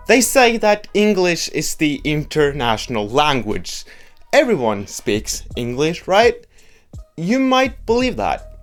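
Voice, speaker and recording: A young man with a Norwegian accent, a native Norwegian speaker, giving a blunt, joking opinion monologue as a video host. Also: fairly high pitch. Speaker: YouTube host.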